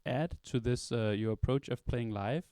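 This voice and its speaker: Smooth-voiced man asking questions. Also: mid-range voice, moderate pace, mellow, dry recording, studio quality. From Denmark. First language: Danish. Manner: thoughtful, long reflective questions. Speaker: interviewer, songwriter. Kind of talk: interview questions. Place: record store at a release party.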